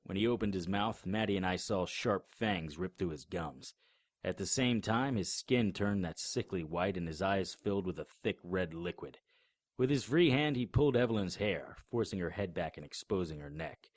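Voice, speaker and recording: A man's voice: low voice, expressive, moderate pace, no room sound, clean studio sound. A man narrating an audio drama in the first person, wry and matter-of-fact. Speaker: narrator and lead actor.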